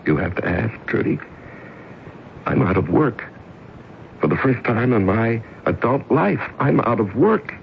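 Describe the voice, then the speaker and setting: An American actor playing a middle-aged office man in a radio drama, pleading and obsessive, with a halting, emphatic delivery. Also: medium pitch, sing-song, creaky. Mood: distressed. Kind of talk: 1970s radio drama acting. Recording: muffled, hissy old radio recording.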